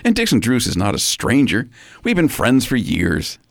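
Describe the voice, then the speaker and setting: A man's voice: mid-range voice, wildly swooping pitch, moderate pace, vocal fry, dry recording, studio quality, full character voice. A man playing a doting, easily swayed fiancé in a radio drama, exasperated yet affectionate. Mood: indulgent. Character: indulgent, doting fiancé, easily won over.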